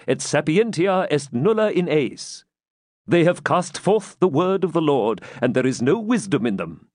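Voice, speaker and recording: A man's voice: a little high, darkish tone, no echo, studio quality, pitched above the performer's own voice. A man voicing a vain, covetous medieval churchman in a storybook, preaching pompously in archaic speech. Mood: pompous scorn. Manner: pompous, preachy, quoting scripture in Latin.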